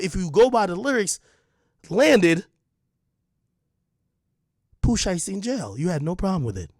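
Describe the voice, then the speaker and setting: A man's voice: high voice, darkish tone, dry recording. Heated confrontational man, dry close sound. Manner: confrontational, heated, repeats points. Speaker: online media personality. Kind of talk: direct-to-camera rant.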